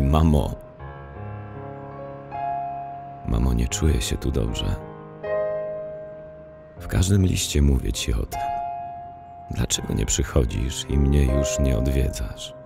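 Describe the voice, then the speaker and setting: An actor in an audio play reciting verse as an anguished psychiatric patient, brooding and deliberate, pleading with his absent mother. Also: very deep voice, gravelly, full character voice. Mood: despairing.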